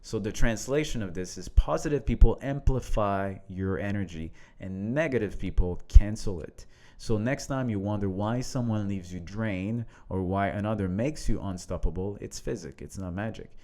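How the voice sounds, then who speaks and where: A man with a French accent talking in a relaxed, reflective way about mindset and leadership, laughing now and then. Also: fairly low pitch, normal speed, few pauses. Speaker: co-host, coach. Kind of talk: casual conversation.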